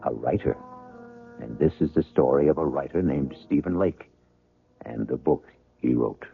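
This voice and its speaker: A male radio host with an extremely deep, gravelly voice narrates dramatically. His delivery is deliberate and theatrical, with wide swings in intonation. It sounds like an old broadcast. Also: lively intonation. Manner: deliberate, theatrical rhetorical questioning. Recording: muffled old radio recording. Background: music.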